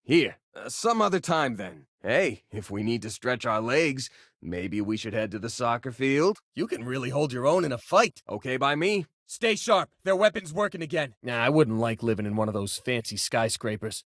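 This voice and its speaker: A friendly young spacefaring miner for a video game. He is easygoing and earnest, with a casual, folksy way of talking. His medium-pitched voice moves up and down in a lively way, recorded dry. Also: normal speed, dry recording. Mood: urgent, combative. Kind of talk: video game character dialogue and combat barks.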